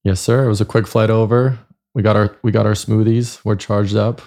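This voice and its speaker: A man's voice: fairly low pitch, level tone, normal speed, warm tone. A man born in Croatia, chatting casually as an interview guest and telling anecdotes. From born in Croatia, lived in Germany and Canada. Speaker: podcast guest, chiropractor and speaker.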